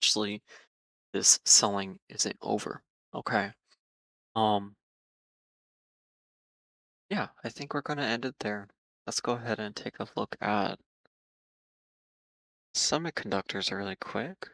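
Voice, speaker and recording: A man hosting market commentary for viewers, speaking in a measured, cautious way with frequent ums and repeated words while losing his voice. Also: fairly low pitch, creaky, bright, forceful tone, no room sound. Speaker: market analysis host.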